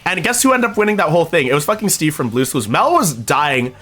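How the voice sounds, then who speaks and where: A young man streaming, talking casually to his chat, excitable and swearing freely. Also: on the high side, fast talker, husky.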